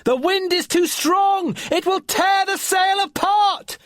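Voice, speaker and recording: Guy putting on a high young boy's voice, brave sailor kid yelling over a storm, husky edge, storybook reading. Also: huge pitch swings, normal speed, dry recording, clean studio sound, exaggerated voice. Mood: shouting. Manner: urgent, shouting over a storm.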